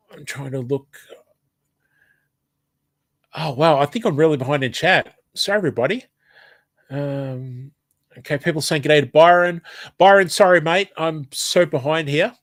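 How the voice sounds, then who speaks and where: A man's voice: a little high, gravelly, dry recording. An Australian man with hay fever and a blocked nose, casually reading a live stream chat aloud, hesitant and apologetic, with lots of ums.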